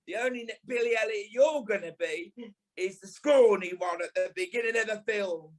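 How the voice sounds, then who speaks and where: An actor playing a camp, sharp-tongued British dance teacher and former stage dancer in an audio play, theatrical and sarcastic. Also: male voice, high voice, moderate pace, lightly acted. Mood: sarcastic, scolding. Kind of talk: audio play monologue and dialogue.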